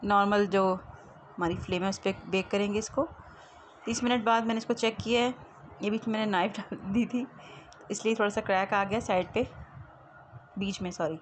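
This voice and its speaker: A woman's voice: medium pitch, fairly even intonation, normal speed, long pauses. A woman giving a casual recipe voice-over as a home cooking host. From Pakistan. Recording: clearly noisy homemade voice-over recording.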